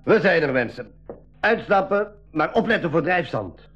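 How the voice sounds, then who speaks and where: A man's voice: on the high side, very animated, normal speed, a bit bright, soft background music. A man playing a practical, watchful member of a jungle expedition in a school radio drama. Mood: practical, careful. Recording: slightly dull 1980s radio recording.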